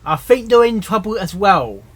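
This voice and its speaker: High-pitched man giving casual reaction commentary. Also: sing-song, moderate pace, fairly bright tone. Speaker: reaction video host.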